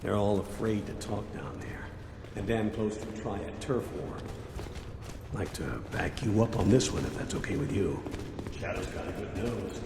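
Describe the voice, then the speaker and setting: An actor playing a weary, principled old American cop and father in a game cutscene, measured and plain-spoken. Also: fairly low pitch, creaky, background noise. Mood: grave. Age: older. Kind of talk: video game cutscene acting. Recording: music under the dialogue.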